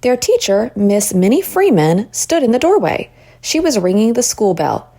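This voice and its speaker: A woman reading a children's story aloud as an expressive narrator. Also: fairly high pitch, normal speed. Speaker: storybook reader.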